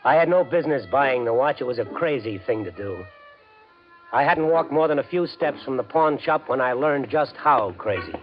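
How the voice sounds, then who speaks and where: An actor playing a hapless, anxious gambler in a radio suspense drama, halting and colloquial in his delivery. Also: medium pitch, lively intonation, faint music behind, natural voice. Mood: grim, narrating. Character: desperate, hapless everyman gambler in debt. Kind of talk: 1950s radio suspense acting with narration. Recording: dull, muffled 1950s radio recording.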